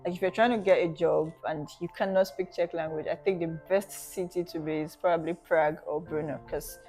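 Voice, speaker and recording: A woman whose first language is Yoruba hosts a relaxed conversation. She has a somewhat low voice and asks curious questions, often breaking in with quick exclamations. Soft music plays faintly underneath. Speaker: host. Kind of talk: casual chat.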